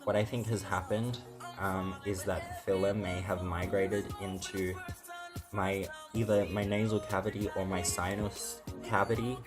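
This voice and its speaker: A woman losing her voice, speaking in halting, apologetic video clips. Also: very deep voice. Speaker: influencer in clips. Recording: clips played over music.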